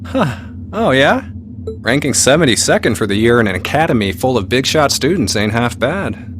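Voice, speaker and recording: A man's voice: medium pitch, big pitch swings, moderate pace, natural voice. An actor playing a breezy, teasing male mercenary in a video game, casual and slangy with a sentimental streak. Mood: fond, joking. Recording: music behind the voice.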